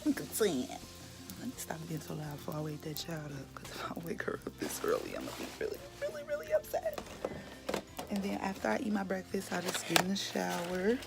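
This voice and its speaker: A woman in her late twenties with a raspy voice, chatting candidly to her viewers in a casual morning vlog. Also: lowish voice, huge pitch swings, fairly quick. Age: late 20s. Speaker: vlogger. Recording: music playing behind.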